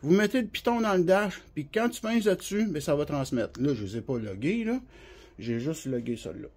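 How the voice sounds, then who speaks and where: A man with a Quebec French accent and a husky voice gives an informal, colloquial product demonstration, explaining in a rambling, hands-on way. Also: fairly high pitch, sing-song, a little fast, a little mellow. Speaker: CB radio specialist and seller presenting products.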